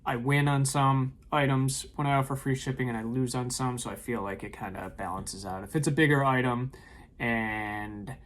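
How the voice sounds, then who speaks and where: A man's voice: mid-range voice, steady tone, normal speed, a bit bright. A man casually running through his weekend eBay sales, talking loosely with frequent ums and uhs from a room at home. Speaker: eBay reseller vlogger. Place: home room with shelves of tapes.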